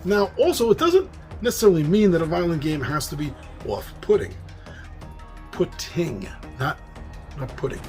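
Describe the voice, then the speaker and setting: A man with a New York accent hosting a casual monologue about board games, chatty and quick to laugh at his own slips. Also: a little high, big pitch swings, soft background music. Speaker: host.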